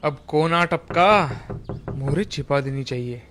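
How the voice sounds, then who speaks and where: A man acts out an excitable farmer for a cartoon fable, in a high-pitched voice. He is secretive and theatrical, quick to exclaim and lament. Faint music plays behind him. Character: hard-working farmer husband, secretive, excitable, given to lamenting. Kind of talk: exaggerated Hindi moral-story cartoon dialogue.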